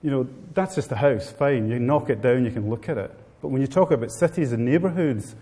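A middle-aged man with an Italian accent speaks to a live seminar audience in a dry, joking way, often turning to the room with questions. His voice is dark and mellow, at a middling pitch for a man. A long reverb trails each phrase, as if he is speaking in a hall. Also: fairly quick. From Italy. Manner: informal, wry, addresses the audience directly. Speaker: guest speaker.

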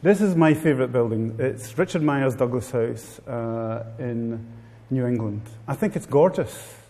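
A man's voice: medium pitch, a little slow, dark tone. A middle-aged man with an Italian accent, giving a wry, informal talk to a live seminar audience. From Italy. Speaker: guest speaker. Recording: long hall reverb.

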